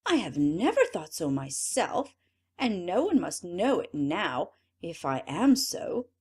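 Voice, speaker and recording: A woman's voice: on the low side, sing-song, slow pace, a little mellow, husky, exaggerated voice. A woman reading aloud, voicing a worried old minister fretting to himself in a storybook. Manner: fretful, worried inner talk. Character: anxious old male minister.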